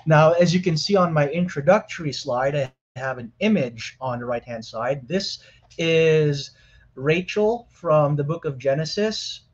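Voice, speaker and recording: A man in his thirties speaks as a debate guest from his home. His voice sits a bit high and comes through a muffled video call. He talks in a polite, measured way. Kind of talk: formal debate opening. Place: home room with a fan running.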